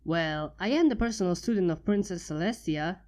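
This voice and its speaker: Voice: medium pitch, a little fast, darkish tone, natural voice. A fanfiction reader voicing an earnest young female unicorn scholar.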